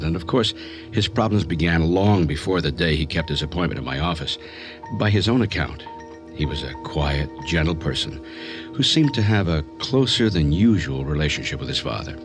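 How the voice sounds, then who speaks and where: A very deep male voice, playing a calm psychiatrist in a radio drama. He speaks in measured, clinical phrases, with music clearly playing behind him. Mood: composed, clinical. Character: composed male psychiatrist recounting a strange case. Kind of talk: radio drama narration and acting.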